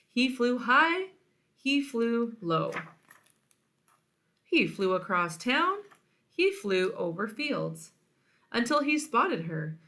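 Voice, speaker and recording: A woman reading a children's storybook aloud in an expressive narrating style. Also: mid-range voice. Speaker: storybook reader.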